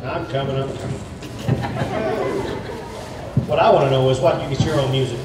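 A man plays a cool, sarcastic boy puppet in a live kids' show, teasing in slang. The voice is mid-pitched and distant, with heavy echo and loud noise around it. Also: steady tone, rapid-fire, close to an everyday voice. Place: shopping mall puppet stage. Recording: distant, echoey, very noisy mall hall.

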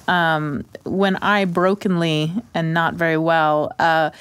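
A woman's voice: on the low side, smooth, no room sound. A woman in casual panel conversation, talking in an earnest, reflective way. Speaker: radio show panelist. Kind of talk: casual chat.

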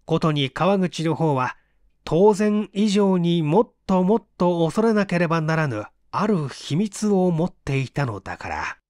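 Lively, higher-voiced man reading a mystery. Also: a little slow, no echo, studio quality. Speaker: audiobook reader, stage actor. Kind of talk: dramatic literary mystery narration.

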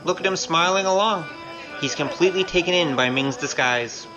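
A woman voicing a wry, quick-witted young male trickster in a kung fu audio drama. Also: lowish voice, over music, lightly acted, poor audio. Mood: pleased, sly. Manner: wry, casual, scheming.

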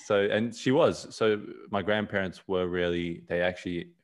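A man speaks from a small room at home over a video call webcam. His voice sits low. He rambles gently, repeating words and restarting sentences as he talks. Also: steady tone, normal speed. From South Africa, raised in Australia. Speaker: podcast guest. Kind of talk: casual conversation on a video call.

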